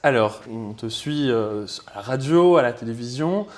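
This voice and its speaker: A man's voice: mid-range voice, animated, normal speed. A man hosting an interview, asking questions and answering with short friendly acknowledgments. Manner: friendly, brief prompting acknowledgments. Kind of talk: casual interview.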